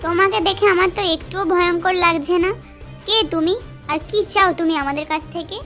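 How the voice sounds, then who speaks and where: A woman's voice: extremely high pitch, fairly even intonation, a little fast, bright, forceful tone, soft background music. A woman voicing a brave, curious young schoolgirl in a children's cartoon story, with an eager, childlike delivery. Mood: bold.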